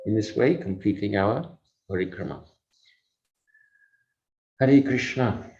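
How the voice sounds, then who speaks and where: Male swami as pilgrimage guide, low voice, halting devotional narration, lo-fi sound with kalimba behind. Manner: halting, musing, fragmentary phrases with repetitions. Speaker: swami guiding a virtual pilgrimage. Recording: dull lo-fi audio. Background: kalimba music, soft mallet-like tones.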